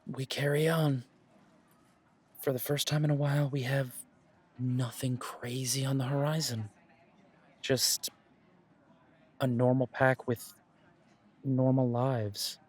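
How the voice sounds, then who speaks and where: A man playing a steady werewolf pack leader. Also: mid-range voice, husky. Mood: reassuring. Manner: earnest, measured, reassuring, with dry humour. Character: steady, protective male werewolf pack leader, wry and dutiful. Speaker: voice actor in audio roleplay. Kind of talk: scripted audio roleplay drama.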